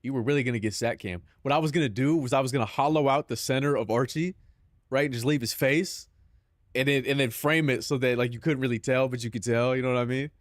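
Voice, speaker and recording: A young man with a Southern Californian accent riffs loosely with his stream chat, swearing freely and tossing in "bro" every few lines. His voice sits at an ordinary pitch. The sound is dry, with no room echo. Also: quick pace. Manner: loose, profane banter peppered with "bro".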